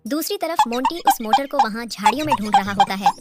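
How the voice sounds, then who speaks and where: A woman narrates a scripted cartoon tale as its storyteller. Her voice is fairly high, and loud music plays behind it. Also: lively intonation, quick pace.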